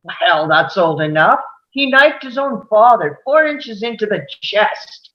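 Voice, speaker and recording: A woman's voice: mid-range voice, animated, a little slow, natural voice. Juror role, female actor: insistent, certain, swinging intonation, slightly lo-fi sound. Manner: insistent, emphatic. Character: forceful, opinionated juror sure of guilt. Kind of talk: dramatic table read of a stage drama.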